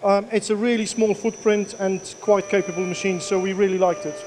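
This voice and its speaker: A man's voice: high-pitched, steady tone, fairly quick, darkish tone, a little hiss or hum. A man in his thirties, a workshop owner, answering interview questions plainly with many fillers. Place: machine workshop indoors. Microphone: handheld mic.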